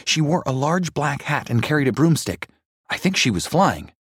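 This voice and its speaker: Shrill, frantic young schoolboys in a storybook, yelling in panic, as a man puts them on. The voice jumps far above his own with wide swings and sits close and dry. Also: very animated, normal speed, husky, dry recording. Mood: earnest. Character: frightened young boy classmates, excitable.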